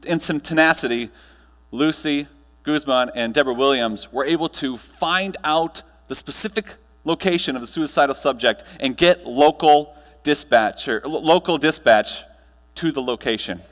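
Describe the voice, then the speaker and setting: A man giving a motivational keynote to a live audience, telling stories with dramatic pauses and emphatic delivery. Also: a little high, fairly bright tone. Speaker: keynote speaker. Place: large room, live audience, amplified. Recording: echoey hall, long reverb.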